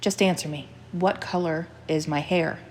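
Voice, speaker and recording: A woman's voice: sits low, steady tone, normal speed, darkish tone, a little hiss or hum. A woman voicing a frazzled woman in her thirties, strained and pleading.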